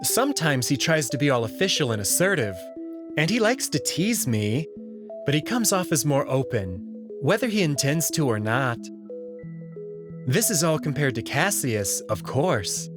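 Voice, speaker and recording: A fairly high, very expressive voice for a courteous young male alien servant played by a man. He speaks with measured politeness and discreet candor, like a perceptive palace attendant in a visual novel, with music playing underneath. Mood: polite, warm. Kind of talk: visual novel character read-through.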